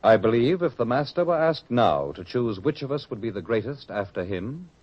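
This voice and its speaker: A man's voice: medium pitch, very animated, moderate pace. A man playing a proud, argumentative disciple in a radio drama, speaking in a questioning, oratorical way. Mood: proud, arguing. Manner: questioning, self-assured, oratorical. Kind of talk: old-fashioned radio drama acting. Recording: dull lo-fi radio drama recording.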